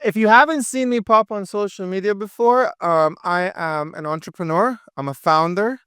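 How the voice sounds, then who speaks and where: A lively young man from with a crisp voice. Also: high-pitched, no echo, clean studio sound. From Dubai. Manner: energetic, quick, with many fillers and restarts. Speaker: podcast guest, entrepreneur. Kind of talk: casual interview chat.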